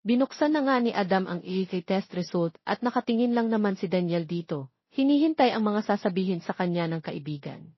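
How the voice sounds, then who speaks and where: A woman's voice: medium pitch, lively intonation, brisk, breathy edge, dry recording. A woman with a Filipino accent reading a scripted romance audio story as its narrator. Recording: dull, lo-fi but dry recording.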